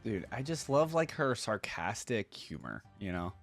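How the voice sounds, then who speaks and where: A man with a fairly low voice hosts a casual fan reaction. His pitch moves around in a lively way as he comments, over faint music. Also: normal speed. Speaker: reaction video host.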